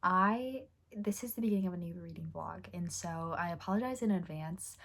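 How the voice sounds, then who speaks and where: Chatty hoarse woman book vlogger. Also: fairly low pitch, fairly quick, a bit bright. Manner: chatty, rambling, many fillers and restarts. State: hoarse, losing her voice. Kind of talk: casual chat.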